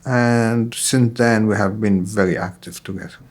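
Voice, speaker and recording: Low-voiced man with Lebanese accent. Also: steady tone, unhurried. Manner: non-native English with repeated phrases. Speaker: interviewee, IT specialist. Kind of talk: interview testimony.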